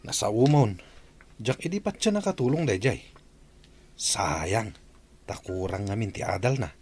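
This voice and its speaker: A man's voice: lowish voice, animated, a little slow, a little mellow, breathy edge, exaggerated voice. A man playing a curious male coworker in a radio drama, pitying the new maid as he gossips.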